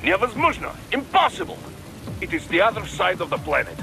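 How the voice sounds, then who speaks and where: Worried Russian military adviser, animated. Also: male voice, high-pitched, a little fast, gravelly, soft background music, full character voice. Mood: dismayed, emphatic. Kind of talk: dramatic video game acting.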